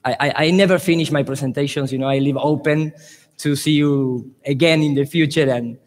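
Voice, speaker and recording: A man from Argentina, a native Spanish speaker, gives a joking talk on stage in halting English with an Argentinian accent. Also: fairly high pitch, fairly even intonation. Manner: playful, laughing, halting non-native English. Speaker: conference speaker. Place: large conference hall. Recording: reverberant hall sound.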